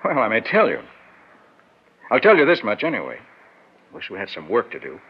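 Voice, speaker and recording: A muffled old radio drama recording of a powerful, brusque businessman. His voice sits low and moves widely in pitch, with clipped, commanding phrasing that hides some nerves. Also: huge pitch swings, fairly quick, husky, full character voice. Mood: uneasy, confiding. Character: domineering, brusque, successful businessman, secretly nervous. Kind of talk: 1960s radio drama acting.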